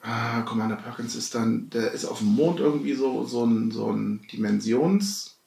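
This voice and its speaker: A man's voice: mid-range voice, moderate pace, creaky, warm tone. A man, talking casually as a podcast co-host. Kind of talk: casual chat. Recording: echoey room, distant from mic.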